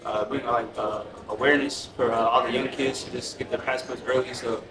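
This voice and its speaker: A man's voice: medium pitch, steady tone, fairly quick. An eighteen-year-old man, a basketball player, answering press questions with a lot of hesitant filler. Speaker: national team basketball player. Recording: roomy, crowd noise, distant mic.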